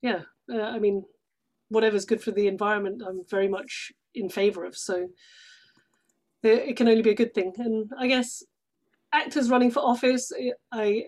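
A woman's voice: medium pitch, fairly even intonation, moderate pace, a little mellow. A woman from outside London with a British accent, chatting casually as a guest in an interview. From England, outside London. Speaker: podcast guest, stage actress.

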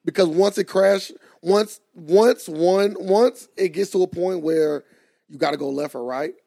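A man in his twenties talks through a casual podcast chat with a fairly high, gravelly voice. He is animated and laughs easily, stretching out words to hammer a point home. The sound is dry and close. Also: no echo. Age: 20s. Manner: animated, laughs often, drawn-out emphatic points. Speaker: podcast co-host.

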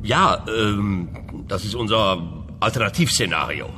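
A man's voice: lowish voice, expressive, a little slow, sharp tone, slight background noise. An actor playing an easygoing, evasive male designer who glibly bluffs in a comic radio play. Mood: evasive, bluffing. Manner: glib, evasive, stammering when questioned.

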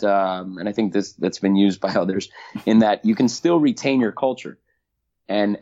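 A middle-aged man answering interview questions about the race he organizes, halting and repeating words as he thinks aloud. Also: lowish voice. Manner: halting, many repeats and fillers. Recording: dull lo-fi audio.

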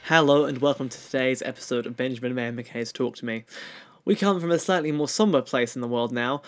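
A man's voice: medium pitch, fairly quick, faint music behind. Australian man hosting a podcast. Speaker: podcast host and interviewer. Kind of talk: podcast introduction and interview chat.

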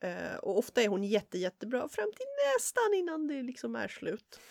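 A woman co-hosting a podcast, giving lively opinions on books in casual chat. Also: fairly high pitch, moderate pace, gravelly. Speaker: podcast co-host. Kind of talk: casual book discussion.

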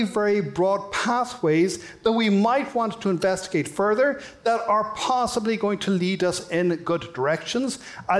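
An Irish academic man delivers a public lecture in a measured, thoughtful way, often pausing on small fillers. His voice sits fairly high for a man. The sound carries a roomy echo. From Ireland. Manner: measured, thoughtful, with frequent "uh" and "sort of". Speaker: academic speaker.

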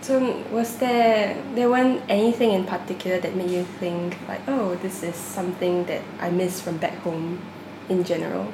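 A woman interviewer asks casual questions and prompts gently with short murmurs of agreement. Her voice sits in an echoey room with clear background noise. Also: lowish voice, fairly even intonation, moderate pace.

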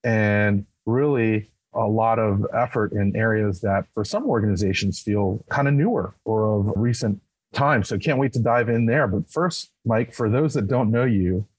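A man's voice: on the low side, darkish tone, slightly rough, no room sound, poor audio. A man co-hosting a podcast, asking the guest long, friendly, meandering questions. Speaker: podcast co-host. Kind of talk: podcast interview.

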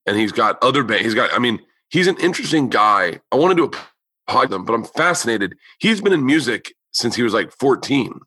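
Male comedian-host, casual swearing storyteller, bright tone, dry studio sound. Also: medium pitch, very animated, fairly quick, breathy edge, dry recording, low-quality recording. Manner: loose, profane storytelling with quoted voices. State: losing his voice. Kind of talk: casual chat.

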